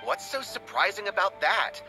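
A man's voice: high-pitched, lively intonation, moderate pace, gravelly, over music, low-quality recording. A man voicing an anxious, fussy, stubbornly hopeful writer in a video game, earnest and pleading. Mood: insistent.

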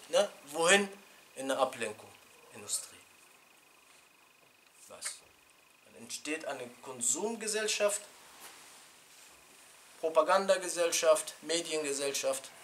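Lively chatty middle-aged man teaching. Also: a little high, a little hiss or hum. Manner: animated, chatty, laughs with students, many restarts and fillers. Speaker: sheikh teaching a Quran class. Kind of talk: informal religious lesson. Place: small indoor classroom.

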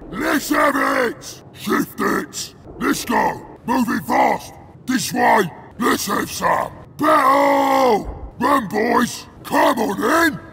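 A man voicing a brutish, bloodthirsty orc warrior for a video game, bellowing short, rough war cries, eager for battle. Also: very high-pitched, fairly bright tone, a little hiss or hum, heavily acted. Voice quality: gravelly, rough.

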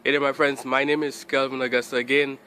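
A young man speaks casually into an earbud mic while holding his phone at arm's length outside. His voice is bright and forward, and his speech is sprinkled with fillers. Faint street noise sits in the background. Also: mid-range voice, little pitch movement, quick pace. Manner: warm, hesitant with fillers. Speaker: Bible study vlogger. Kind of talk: casual vlog talk. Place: outdoors by a building.

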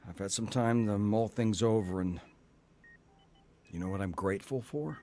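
A man playing a contrite older brother, earnest and gently wry, in a radio drama. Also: fairly low pitch, moderate pace, lightly acted. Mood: grateful, wry. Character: contrite older brother, newly grateful and sincere.

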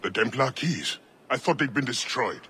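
An actor playing a proud, stern assassin leader in a video game, speaking in a measured, formal and sardonic way. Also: male voice, mid-range voice, very animated, slight background noise. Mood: earnest, commanding. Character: proud, stern freedom-fighter assassin leader, dry-humoured. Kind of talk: dramatic video game acting. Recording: loud music behind.

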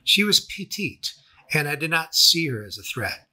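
Guy narrating his memoir like an audiobook, raspy but really sharp forward voice. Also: fairly high pitch, sing-song, normal speed. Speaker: author and narrator. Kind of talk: scripted first-person memoir narration.

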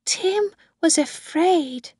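A woman's voice: extremely high pitch, drawn-out words, dark tone, no echo, studio quality, pitched above the performer's own voice, full character voice. A woman voicing a timid, earnest little girl in a storybook, with a plaintive manner. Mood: anxious.

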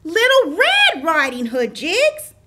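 An exasperated shaggy dog puppet voiced by a woman in a children's puppet show. The high voice swings widely in pitch as it corrects a friend, insistent, emphatic and scolding. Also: huge pitch swings, takes it slowly, heavy background noise, heavily acted. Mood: patiently correcting. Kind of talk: children's puppet theater dialogue.

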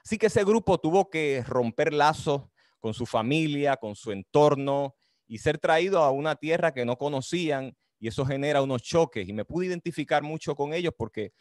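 A middle-aged man from the Dominican Republic with a Caribbean Spanish accent, giving a reflective, deliberate talk as an author and teacher over a video call. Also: a little high, dry recording, clean studio sound. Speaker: author and history teacher presenting his book. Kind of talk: book talk, explanatory storytelling. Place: indoor room with bookshelves, on a video call.

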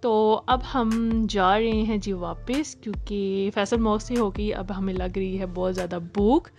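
A woman's voice: mid-range voice, steady tone, a little slow, vocal fry, a little mellow, faint music behind. A woman narrating a casual family day-out vlog in a cheerful way, often mixing English phrases into her speech. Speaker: vlogger.

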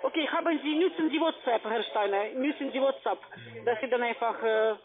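A woman speaking as a call centre agent, insistent and halting, on a phone call. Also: fairly high pitch, crowd noise. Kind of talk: phone sales pitch. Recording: tinny narrow telephone line.

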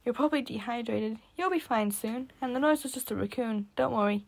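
A woman voicing a gentle, caring young boy with cat ears, speaking softly and reassuringly in a raised voice. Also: a little fast, creaky. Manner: soft, reassuring, higher and brighter than the narration.

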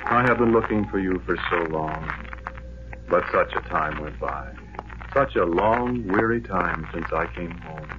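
Deep-voiced, lovelorn war veteran. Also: male voice, animated. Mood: yearning. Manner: brooding, poetic, measured delivery. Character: lovelorn, weary male war veteran narrating his lifelong search. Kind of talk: 1940s radio drama monologue. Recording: noisy, dull 1940s radio recording.

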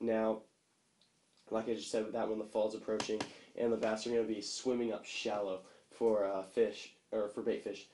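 A man's voice: lowish voice, dark tone. A young man hosting a fishing channel, casually reviewing lures at a table and rambling with lots of fillers and restarts. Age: young adult. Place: small room indoors, seated at a table. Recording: roomy echo, faint background noise.

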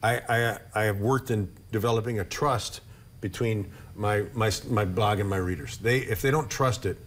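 Guest, man in his 60s, lowish voice, friendly and casual, repeats words at the start of sentences, studio lapel mic. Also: normal speed, a bit bright. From Upper Michigan, USA. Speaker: blogger guest. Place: TV studio with couch set.

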